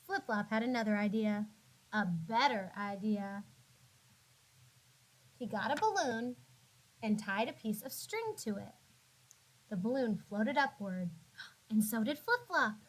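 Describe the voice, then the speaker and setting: A woman narrating a children's storybook as a teacher would, expressively, with drawn-out words and dramatic emphasis for young listeners. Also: on the high side, expressive, low-quality recording. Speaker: classroom teacher reading aloud.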